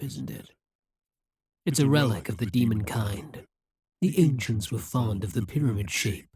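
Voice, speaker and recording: A man playing a husky, scheming demon king. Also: medium pitch, a little mellow, no room sound, full character voice. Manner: coldly menacing, coaxing and exasperated. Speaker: voice actor. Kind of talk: audio roleplay.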